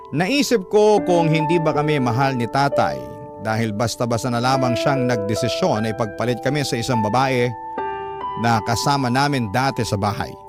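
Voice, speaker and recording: A man's voice: mid-range voice, close to an everyday voice. A man narrating a radio drama as a sorrowful grown child remembering a broken family, solemn and sentimental. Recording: loud music under voice.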